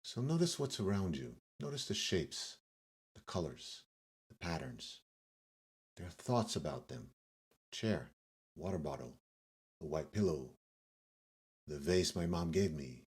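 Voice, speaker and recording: A man leading a guided meditation as the guide, speaking in measured short phrases with pauses between gentle instructions. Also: deep voice, big pitch swings, breathy edge. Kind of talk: guided yoga nidra meditation.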